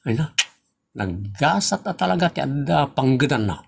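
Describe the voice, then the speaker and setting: A man's voice: medium pitch, sing-song, moderate pace, gravelly, breathy edge, heavily acted. A man with an Ilocano Filipino accent acting a teasing, scolding rural father and husband in a comedy audio drama, with an emphatic, preachy way of talking. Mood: teasing, boastful.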